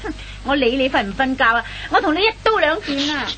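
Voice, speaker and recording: High-voiced, scolding young girlfriend. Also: big pitch swings, quick pace, bright, forceful tone, full character voice. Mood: indignant, dismissive. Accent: Hong Kong Cantonese. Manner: scolding, indignant, emphatic. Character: angry impatient young girlfriend scolding her late boyfriend. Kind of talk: comic radio play acting. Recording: old radio recording with faint noise and hum.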